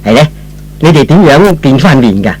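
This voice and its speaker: A man's voice: medium pitch, sing-song, moderate pace, darkish tone, slight background noise, close to an everyday voice, poor audio. A man playing a devoted, tender young man in love, earnest and sentimental, in an old radio melodrama. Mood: polite.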